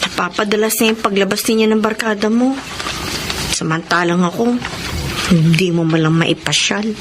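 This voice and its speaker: A woman's voice: mid-range voice, fairly even intonation, normal speed, close to an everyday voice. An actress with a Filipino accent playing an anxious, wounded, pleading young live-in girlfriend in a radio melodrama. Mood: disappointed. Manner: emotional, pleading. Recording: clear background noise on broadcast.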